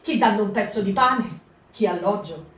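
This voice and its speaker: A woman's voice: mid-range voice, normal speed, natural voice. A woman voicing a frightened wartime woman helping partisans, in a reflective, anxious monologue. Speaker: actor in a radio reading. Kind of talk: stage reading for radio. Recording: echoey room, distant mic.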